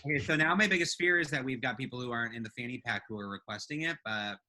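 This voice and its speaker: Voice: mid-range voice, racing, bright, forceful tone. A podcast co-host chatting casually and playfully with listeners as friends on a live show.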